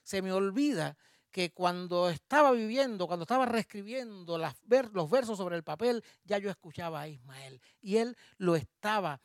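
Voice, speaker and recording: A man's voice: sits high, lively intonation, moderate pace, dry recording, clean studio sound. A man with a Cuban accent presenting a concert, moving from a spoken introduction into playful, rhythmic improvised rhyming verse with self-mocking asides. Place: small music bar venue.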